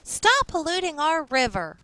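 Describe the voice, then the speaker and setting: A woman's voice: high-pitched, wildly swooping pitch, measured pace, sharp tone, voice pushed up, heavily acted. A woman reading the part of an earnest, determined schoolgirl in a storybook, with eager emphasis.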